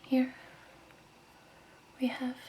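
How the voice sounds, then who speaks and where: A woman playing a gentle, soothing crystal shop keeper in a hushed, patient ASMR roleplay whisper. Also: very slow, slight background noise. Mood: gentle, explaining.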